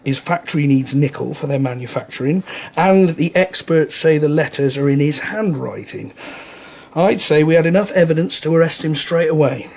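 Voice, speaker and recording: An actor playing a decisive British police detective with a husky, creaky voice in a radio drama. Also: male voice, a little high, normal speed, a little mellow. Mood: keen, decided. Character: keen, decisive male police detective colleague. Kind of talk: naturalistic radio drama acting.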